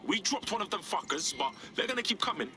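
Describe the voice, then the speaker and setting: A man's voice: high voice, very animated, quick pace, music in the background. An actor playing a cocky, streetwise young male rebel with a London accent and a brash, slangy manner. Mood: brash, urgent.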